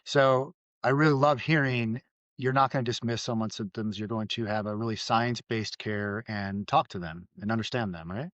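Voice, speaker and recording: A man's voice: lowish voice, moderate pace, fairly bright tone, dry recording, lo-fi sound. A man hosting a podcast interview, casual and affirming, asking his guest leading questions. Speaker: podcast host.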